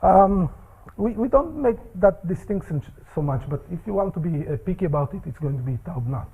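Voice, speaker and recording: A man giving a technical seminar lecture in a seminar room, with a soft voice, halting speech and many fillers. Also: high voice, lively intonation, slightly rough, narrow, tinny audio.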